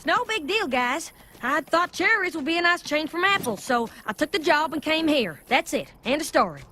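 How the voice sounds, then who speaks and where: A man voicing an evasive farm pony mare in a high falsetto, in a comedy puppet review. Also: sing-song, moderate pace, noisy background.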